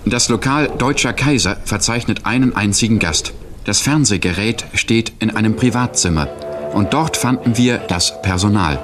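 Lowish-voiced vintage reporter over music. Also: male voice, normal speed. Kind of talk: scripted 1960s reportage narration. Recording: archive broadcast recording. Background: clear music.

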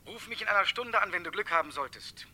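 A man's voice: high voice, expressive, fast talker, bright, forceful tone, exaggerated voice. An actor playing a serious, authoritative police detective in a crime radio play, firm and businesslike as he gives orders. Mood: urgent, firm.

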